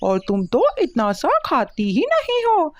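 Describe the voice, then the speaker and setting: A woman's voice: fairly high pitch, wildly swooping pitch, measured pace, a little mellow, no echo. A woman voicing a hardworking, fed-up male crow husband in a Hindi cartoon story, complaining emphatically. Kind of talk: Hindi animated moral story dialogue.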